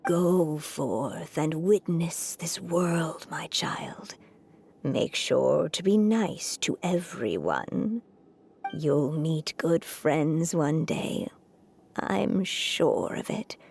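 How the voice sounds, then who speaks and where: A woman voicing a gentle, tender mother figure, soft and earnest, in a dramatic game scene. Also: sits low, animated, extremely slow, vocal fry, slight background noise, heavily acted. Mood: tender, wistful.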